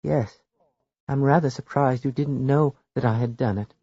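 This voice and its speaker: A man's voice: mid-range voice, moderate pace, breathy edge, no room sound, pitched above the performer's own voice. Figure: a man doing a mild, clever little priest. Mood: gentle. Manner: gentle, polite, quietly knowing. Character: small, mild, clever old male priest. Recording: dull lo-fi dry recording.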